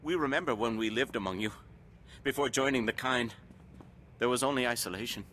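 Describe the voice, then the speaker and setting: A man's voice: mid-range voice, a little fast, a bit bright, a little hiss or hum, lightly acted. A man playing an anguished, menacing captive absorbed into an insect hive mind, speaking eerily as a collective in a video game. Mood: dazed, eerie. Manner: eerie, speaks of himself as a collective. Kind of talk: video game character acting.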